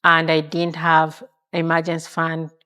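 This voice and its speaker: A woman's voice: low voice, completely flat delivery, a little slow, pushed and bright, smooth. A middle-aged woman, answering casually as an interview guest. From Uganda. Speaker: interview guest, financial strategist.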